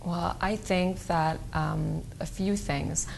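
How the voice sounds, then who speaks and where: A woman guest on a talk show, speaking in an earnest, measured way. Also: lowish voice, fairly even intonation, creaky, darkish tone, a little hiss or hum. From Israel. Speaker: guest emissary. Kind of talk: interview on local talk show.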